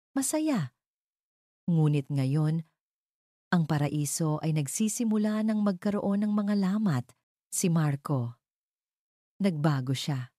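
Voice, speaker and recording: A woman's voice: low voice, dark tone, dry recording, studio quality. A woman reading a scripted, dramatic first-person romance story as its narrator.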